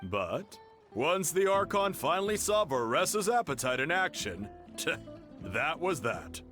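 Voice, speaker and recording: A genial video game character with a mid-pitched voice and very animated intonation. He sounds amused and encouraging as he explains, with music faintly behind him. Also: slow, exaggerated voice. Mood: amused, explaining.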